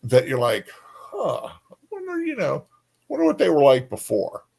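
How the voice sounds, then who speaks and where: A man's voice: a little high, huge pitch swings, normal speed, gravelly, darkish tone. A man co-hosting a casual book chat, talking loosely and informally as he thinks aloud. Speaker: co-host. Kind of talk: casual chat about books.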